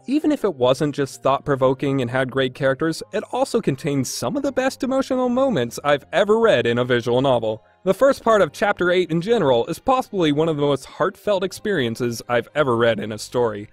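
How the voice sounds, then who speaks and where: A man's voice: a little high, dry recording. A man delivering a scripted review commentary as a podcast host.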